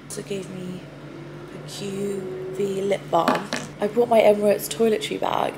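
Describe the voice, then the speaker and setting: A woman's voice: mid-range voice, gravelly, noisy background. A young woman vlogging her recovery to a close laptop camera, chatty and candid, with a badly congested, stuffy nose. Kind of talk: casual recovery vlog to camera. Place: bedroom, indoors.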